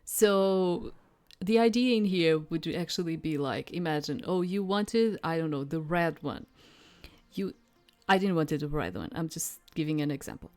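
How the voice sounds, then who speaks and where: Low-voiced woman rambling through a game. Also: expressive, darkish tone. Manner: rambling, halting, repeats herself. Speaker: streamer playing a visual novel demo. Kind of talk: casual playthrough commentary, reading game text aloud.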